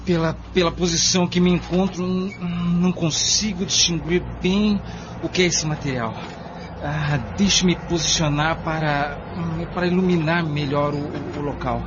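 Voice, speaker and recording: A male actor plays an earnest and courteous leader of a dig in an amateur radio drama. His voice sits a little high and he phrases things formally, hesitating at times. The recording is muffled, with clear noise. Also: fairly even intonation, normal speed, natural voice. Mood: straining, hesitant. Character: earnest male neighbor leading a torchlit cellar dig.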